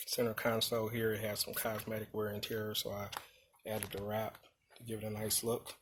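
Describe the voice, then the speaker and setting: A man's voice: fairly low pitch, fairly bright tone. A man casually walking through motorcycle gear in an informal, unscripted way, as in a vlog. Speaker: motorcycle vlogger showing his gear. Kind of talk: casual product walkthrough. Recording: handheld video with clear background noise.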